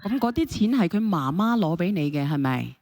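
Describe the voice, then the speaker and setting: A woman hosts a call-in show. Her voice sits a bit low and she talks quickly, pressing with question after question as she mediates. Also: big pitch swings, a little mellow. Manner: questioning, persistent. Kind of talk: mediating a caller dispute, casual talk.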